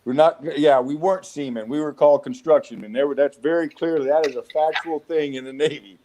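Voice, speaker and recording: A man in his forties with a Southwest American accent, telling rough, profane stories of his youth as an interview guest. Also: on the high side, a little fast, warm tone, low-quality recording. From United States. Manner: rough-spoken, swearing, frequent restarts. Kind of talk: casual storytelling interview.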